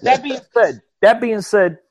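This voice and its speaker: A man from Portugal talks football on a sports podcast with a slightly high voice. He is emphatic, repeats his points for stress and laughs easily. The sound is dry and close to the mic. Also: animated, unhurried, a little mellow, no echo. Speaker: podcast panelist. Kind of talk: casual sports debate.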